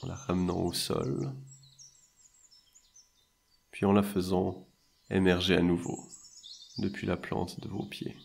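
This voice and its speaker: Man, guided meditation, soothing and measured, flat intonation, husky breathy tone, long pauses. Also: deep voice. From French-speaking Switzerland.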